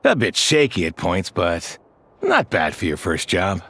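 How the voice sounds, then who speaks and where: A man playing a composed, courteous male companion in a video game dub, speaking in a measured, polite way. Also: low-pitched, huge pitch swings, gravelly, fairly bright tone, full character voice. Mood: calm, approving.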